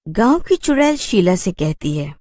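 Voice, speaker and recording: A woman narrator reading a scripted story for an animated tale. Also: mid-range voice, moderate pace, no echo, studio quality.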